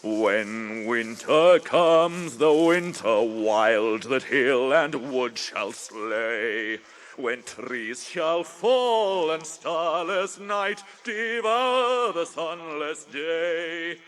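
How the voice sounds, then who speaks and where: An actor playing an ancient, grave and kindly tree giant in a radio drama, with an English accent and a deliberate, drawn-out delivery. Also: male voice, on the high side, lively intonation, a bit bright, smooth, heavily acted. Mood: solemn chanting. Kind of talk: 1980s British radio drama acting.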